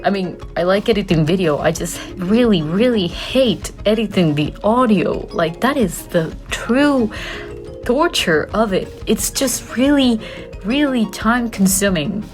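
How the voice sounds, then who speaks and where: A woman's voice: mid-range voice, expressive, a little slow. A woman, chatting casually to camera as a vlogger, wandering off on tangents with frequent laughs and casual swearing. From Costa Rica. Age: 20s. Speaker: art student and content creator. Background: faint background music.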